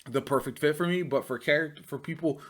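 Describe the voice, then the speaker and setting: A man's voice: on the high side, fast. A man giving casual, chatty gaming commentary, repeating words for emphasis. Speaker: gaming YouTuber. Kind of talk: casual reaction and commentary to camera.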